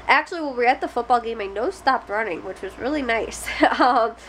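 A young woman chats to a close camera in a small bedroom. Her voice sits a little high, and she rambles along with fillers and restarts. A faint hiss sits under her speech. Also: a little fast, fairly bright tone, poor audio. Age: young adult. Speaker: family vlogger. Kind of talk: casual chat to camera. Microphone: close camera at face height.

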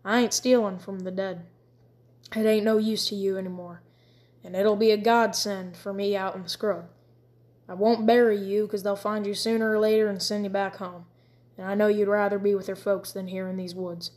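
A woman voicing a hardened, stubborn backwoods father who talks in rough country speech. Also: medium pitch, little pitch movement, moderate pace, a little mellow, lightly acted. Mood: gentle. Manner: plain rough country talk.

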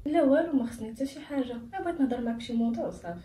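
An actress playing a shy younger sister with a Moroccan accent, talking hesitantly and with embarrassment. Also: female voice, on the high side, animated, rapid-fire, dark tone, far from the mic, slight background noise, lightly acted. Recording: roomy echo, mic set back.

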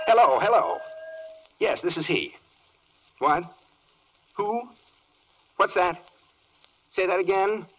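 Casting: fussy, panicky cosmic official for rhymed radio comedy; husky, wildly varied pitch, dithering delivery. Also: male voice, a bit bright, heavily acted. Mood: startled, agitated. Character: flustered, fretful, fussy cosmic official man. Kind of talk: 1940s radio comedy acting. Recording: dull, lo-fi 1940s radio recording.

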